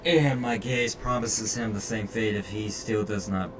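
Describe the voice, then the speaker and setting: A man's voice: lowish voice, fairly even intonation, measured pace, noisy background. A man live-streaming a game, reading the story aloud with stumbles and sweary commentary. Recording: distant mic, game music behind. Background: game music.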